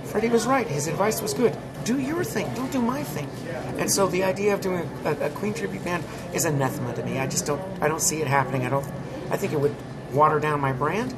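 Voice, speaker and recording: A man's voice: fairly high pitch, expressive, fast talker, heavy background noise. A man with an American accent, a touring musician chatting candidly in an interview and laughing often. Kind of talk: casual interview chat.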